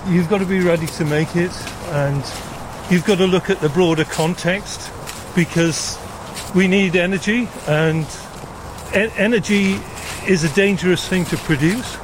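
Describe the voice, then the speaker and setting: An older man from South Wales talks as an interview guest. His voice is on the high side for a man, and he explains with measured care. Clear outdoor traffic noise runs behind him. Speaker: interview guest, retired nuclear engineer. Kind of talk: explanatory conversation. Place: outdoors walking in a gorge. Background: road traffic and outdoor ambience.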